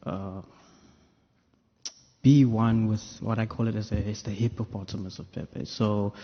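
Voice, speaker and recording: Man, low voice, monotone, reflective and unhurried, hall reverb. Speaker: actor answering press questions. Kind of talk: press conference answer. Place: press conference room. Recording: dull sound with long hall reverb.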